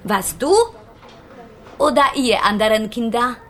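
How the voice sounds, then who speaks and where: Slow, scolding woman. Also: a little high, sharp tone. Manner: scolding, broken grammar. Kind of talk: radio play acting.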